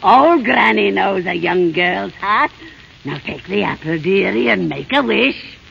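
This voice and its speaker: A woman playing a wicked old witch posing as a wheedling granny, with a croaky, cackling voice, in a 1930s radio fairy tale. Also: mid-range voice, lively intonation. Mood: coaxing. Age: older. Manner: wheedling, sly, gloating, drawn-out. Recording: scratchy, muffled 1930s radio broadcast.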